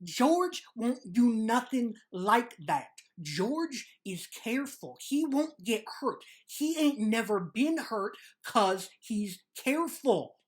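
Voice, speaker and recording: A woman playing a simple, trusting male American ranch laborer in a dramatic reading, speaking in earnest, halting rural vernacular. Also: a little high, big pitch swings. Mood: anxious, stubborn. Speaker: actor.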